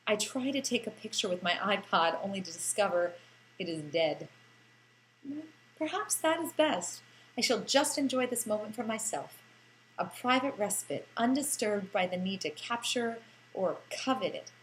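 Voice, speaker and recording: Expressive woman narrator, noisy recording. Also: medium pitch. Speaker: audiobook narrator. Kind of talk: expressive first-person storytelling narration.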